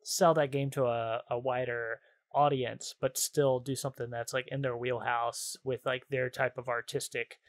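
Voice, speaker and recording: A man's voice: medium pitch, little pitch movement, normal speed, no room sound. A man hosting a video game podcast, chatting casually and enthusiastically in informal slang. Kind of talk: casual chat about video games.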